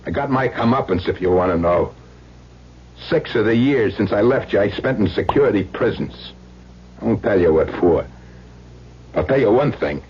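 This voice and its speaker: A hardened ex-convict in an old radio thriller, desperate and menacing. His voice is rough and husky, full of slangy threats, on a dull vintage broadcast recording. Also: fairly low pitch. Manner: menacing, slangy tough-guy talk.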